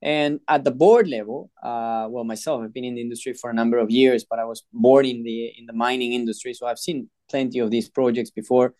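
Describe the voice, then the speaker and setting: A man speaks in an even, level tone with little melody. He talks in measured phrases broken by many filler pauses. The recording is close and dry. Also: mid-range voice, no room sound. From Peru. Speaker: mining company chairman. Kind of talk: podcast interview.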